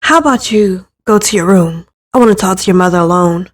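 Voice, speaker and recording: A woman voicing a gentle, protective father with a soft, calm, reassuring manner in a visual novel story. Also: fairly low pitch, brisk, lightly acted. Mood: calm, caring. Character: gentle, steady, protective father of a grown daughter.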